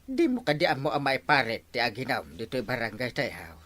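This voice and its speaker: An exasperated Ilocano village captain played by a man in a barangay comedy. His bright, forceful voice swoops wildly through exclamations, with an Ilocano accent. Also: mid-range voice, wildly swooping pitch, a little fast. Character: excitable, exasperated male village captain and uncle. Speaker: actor in comedy skit.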